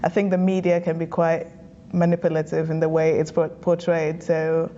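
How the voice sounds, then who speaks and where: Young woman from Ghana, interview guest, mellow low-ish voice, chatty and quick to laugh, slightly dull recording. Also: monotone. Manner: chatty, laughs easily, frequent small restarts. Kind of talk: relaxed personal storytelling.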